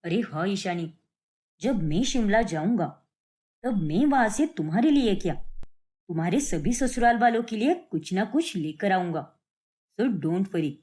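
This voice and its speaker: A young cartoon son, voiced by a woman, agreeable yet cheeky. The speech is playful and knowing, with animated, varied intonation. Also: mid-range voice, a little slow, pitched below the performer's own voice, close to an everyday voice.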